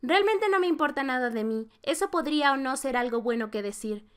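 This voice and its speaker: A woman acts as a young female animator speaking in a high voice. She is frank and self-doubting, narrating her reflections for an animated confession. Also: brisk, clear, resonant tone. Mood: frank, reflective. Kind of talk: scripted first-person narration, fan dub of an animated confessional video.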